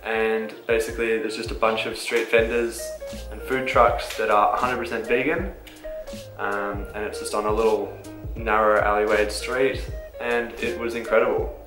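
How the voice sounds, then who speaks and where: A young man co-hosting a casual vlog, speaking in a relaxed, eager way. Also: fairly low pitch, moderate pace, reverberant, music in the background, low-quality recording. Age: young adult. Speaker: vlog co-host. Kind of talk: casual chat. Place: bright indoor room, seated.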